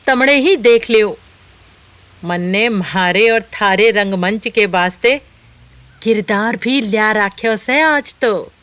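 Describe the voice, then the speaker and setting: A woman's voice: medium pitch, slow, a bit bright, no room sound. A woman playing a sadistic, theatrical village storyteller with a Rajasthani accent in an audio horror drama. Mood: scolding, sly.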